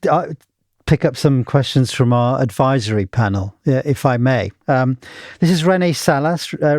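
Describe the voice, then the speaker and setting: A man's voice: medium pitch, darkish tone, no room sound, studio quality. A man from Pakistan hosting an interview, polite and affirming, often repeating his words. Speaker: interview host. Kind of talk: conversational interviewing.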